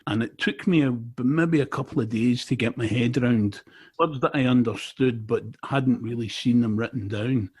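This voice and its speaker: A man's voice: mid-range voice, normal speed, darkish tone, slightly rough. A middle-aged man from Glasgow with a Glaswegian Scottish accent, a native Scots speaker, chatting casually as a podcast interviewer. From Glasgow, Scotland.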